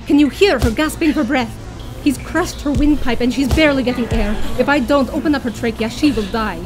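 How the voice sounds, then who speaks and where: A woman playing a brisk, brave and scornful professor in a space adventure radio play, articulate and commanding. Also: high-pitched, natural voice. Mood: urgent, firm. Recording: music under the voice.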